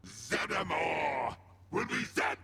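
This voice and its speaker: An actor playing a megalomaniac spirit in a video game, melodramatic, threatening and vengeful. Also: male voice, fairly high pitch, very animated, slow pace, gravelly, slight background noise, heavily acted. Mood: gloating, threatening. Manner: melodramatic, threatening, wildly swooping delivery. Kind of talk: video game villain acting. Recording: loud music over the voice.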